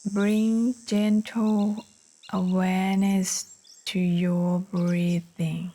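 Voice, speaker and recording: A woman narrating a guided meditation in a soft, soothing, breathy manner, leaving long pauses between short phrases. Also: mid-range voice, level tone. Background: birdsong.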